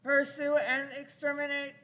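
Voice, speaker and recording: A woman voicing a merciless alien Dalek commander in a radio play, giving staccato monotone commands. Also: sits high, a little slow.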